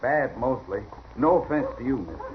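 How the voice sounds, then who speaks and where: A man's voice: medium pitch, animated, normal speed, close to an everyday voice. Drifting cowboy stranger, male actor: American, mild, unassuming, medium register, dull vintage radio sound with slight hiss. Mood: mild, polite. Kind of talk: 1950s radio western acting. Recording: muffled old radio recording, faint noise.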